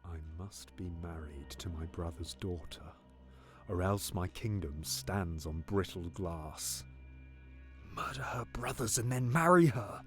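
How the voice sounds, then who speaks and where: Scheming usurper king, Shakespearean audio drama, low voice, wildly varied pitch, menacing whispers and outbursts. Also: animated, a little slow, soft background music. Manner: shifts between menacing whispers and sudden outbursts.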